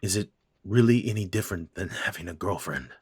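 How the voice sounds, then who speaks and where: A man voicing a gruff middle-aged father in an audio drama, his voice low and rough with a husky grain. He is stiff and clipped, uneasy with his grown son. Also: a little fast, darkish tone, no echo, clean studio sound. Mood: defensive. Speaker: voice actor.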